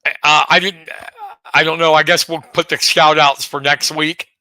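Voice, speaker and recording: A man's voice: a little high, flat delivery, normal speed, bright, forceful tone, no room sound. A man, co-hosting a sports talk show in a friendly, teasing way. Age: 50s. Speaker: co-host.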